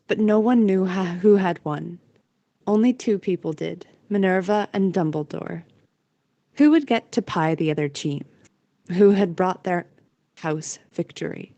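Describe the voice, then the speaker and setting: A woman's voice: lowish voice, measured pace, dark tone, no room sound, highs cut off. A woman narrating a scripted story as the narrator of an audio play.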